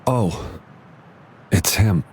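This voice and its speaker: A man playing a kindly young soldier who is gentle with children, in a video game. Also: deep voice, very slow, breathy edge, full character voice. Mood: hesitant.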